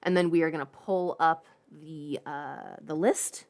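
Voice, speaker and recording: Lower-pitched woman, playful casual streamer. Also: steady tone, unhurried, darkish tone. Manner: playful, informal, chatty asides. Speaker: livestream host. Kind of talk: casual livestream chat.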